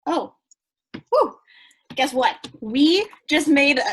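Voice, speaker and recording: An actress in a staged play reading, playing a brash, eager, childlike woman who pushes a deal with cheeky excitement. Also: high-pitched, slow pace, a little hiss or hum, full character voice.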